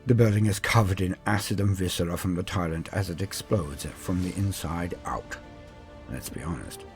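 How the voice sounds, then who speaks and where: A man narrating a scripted story as a host, in a theatrical, dramatic way. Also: sits low. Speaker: narrator and host of a lore channel.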